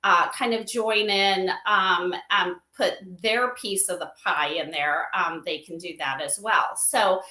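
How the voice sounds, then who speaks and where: A woman's voice: on the low side, takes it slowly, few pauses, pushed and bright. A woman guest on a livestream interview, explaining things informally and often checking in with "right?" and "you know". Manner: informal, filler-heavy, seeks agreement with "right?" and "you know". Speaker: interview guest, company advisor. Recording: roomy, echoey livestream audio.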